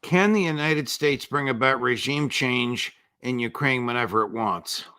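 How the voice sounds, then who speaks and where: A man's voice: medium pitch, a little slow, pushed and bright, clean tone. A man hosting an interview, asking pointed, leading questions. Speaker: show host.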